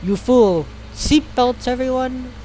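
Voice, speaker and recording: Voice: high voice, takes it slowly, dark tone, a little hiss or hum, poor audio. A streamer reading a visual novel aloud in a casual way, stumbling over the text and dropping sarcastic, sweary asides.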